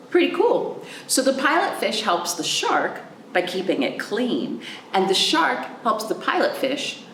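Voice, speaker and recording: Lady librarian talking to little kids, super lively pitch, echoey room w some noise, asks lots of questions. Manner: simple, chatty explanations with questions to the listener. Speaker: children's librarian hosting virtual storytime. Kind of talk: storytime talk to young children. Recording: roomy echo, clear background noise.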